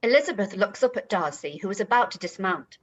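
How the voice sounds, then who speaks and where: A woman's voice: mid-range voice, expressive, fast. A woman reading stage directions as a narrator, in a scripted style.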